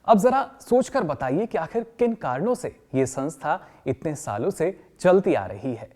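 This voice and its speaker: An encouraging male trainer played by an actor leads a workshop. His pitch moves in a lively way as he patiently explains points and puts questions to the group, in the manner of a training film. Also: unhurried. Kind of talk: scripted training-session lecture with questions to the group.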